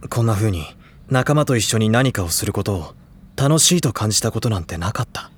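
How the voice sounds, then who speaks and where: An actor playing a stoic, reserved high school boy, talking in a measured, serious way in an audio drama. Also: animated, a little hiss or hum, natural voice. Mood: wistful. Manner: measured, formal, restrained. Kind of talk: Japanese audio drama dialogue.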